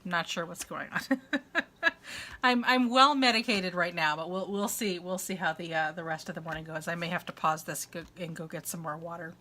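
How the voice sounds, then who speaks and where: A woman's voice: on the low side, steady tone, moderate pace, bright, forceful tone. A middle-aged woman vlogging from home in a long, rambling casual chat, congested and fighting a dry cough, with many uhs and restarts. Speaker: crafter and vlogger. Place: at home, indoors.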